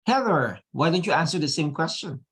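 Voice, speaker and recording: Panel moderator, mid-pitched voice, quick prompts to each speaker. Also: expressive, a bit bright. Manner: brisk, prompting speakers by name.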